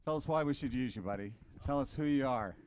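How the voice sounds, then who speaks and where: A man emceeing an office team meeting in a brisk, joking way. He has a middle-pitched voice, and the recording is dry and close with little room sound. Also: no echo. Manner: brisk, joking, reads sponsor names in a quick list. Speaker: meeting host.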